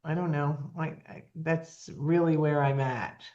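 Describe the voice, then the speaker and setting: Low-voiced woman in her seventies. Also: steady tone, measured pace, a little mellow, lo-fi sound. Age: 70s. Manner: earnest, plainspoken, with frequent ums. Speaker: nonprofit founder, guest. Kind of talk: casual interview talk.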